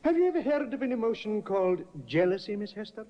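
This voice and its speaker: An actor playing a sharp, persistent defense barrister cross-examining witnesses in an old radio drama, heard through a dull restored broadcast. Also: male voice, high voice, lively intonation, fast talker. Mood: pressing. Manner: probing, pressing cross-examination. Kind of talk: 1950s radio acting.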